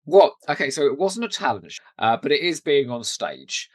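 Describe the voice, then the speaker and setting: A British man co-hosting a podcast, chatting casually and enthusiastically about television, quick to laugh. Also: medium pitch, sing-song, a little mellow, no room sound. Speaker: podcast co-host. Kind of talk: casual TV rewatch chat.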